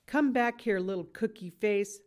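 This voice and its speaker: A woman's voice: medium pitch, moderate pace, darkish tone, close to an everyday voice. Woman playing a loving, emphatic mother. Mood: exasperated, firm. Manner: emphatic, affectionate scolding and teasing. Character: loving, firm, busy mother of a toddler.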